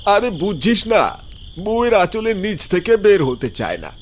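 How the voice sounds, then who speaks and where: A man's voice: high voice, big pitch swings, unhurried, slight background noise. A man voicing a mocking young village friend in a cartoon. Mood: joking.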